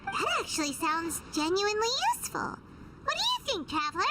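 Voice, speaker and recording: A woman voicing a tiny, childlike, excitable and suspicious companion creature in a video game, squeaky and blunt. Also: fairly quick, heavy background noise, heavily acted. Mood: intrigued. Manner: exclamatory, questioning, cutesy. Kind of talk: video game cartoon voice acting.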